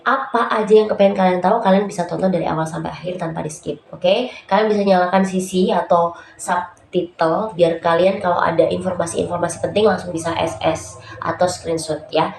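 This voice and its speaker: A woman's voice: on the low side, fairly even intonation, brisk, gravelly, darkish tone. Nasal-sounding woman vlogger with a cold. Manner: chatty, many fillers, addresses viewers as guys. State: stuffy nose from a cold. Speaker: YouTube host giving writing tips. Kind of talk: casual tutorial vlog. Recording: roomy echo, faint background noise.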